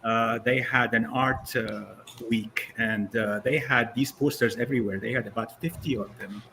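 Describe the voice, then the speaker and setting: A Syrian-born man in his sixties tells his life story in a relaxed, rambling way, full of "uh"s and restarts. His voice sits at an ordinary middle pitch. A faint hiss of background noise runs under it. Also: normal speed. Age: 60s. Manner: conversational storytelling with frequent fillers. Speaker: guest speaker. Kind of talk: casual presentation over video call.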